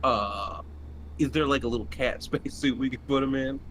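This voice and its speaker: A man's voice: medium pitch, normal speed, a crowd in the background, low-quality recording. A man playing a tabletop game, bantering casually with a joking, loosely profane manner. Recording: faint background noise.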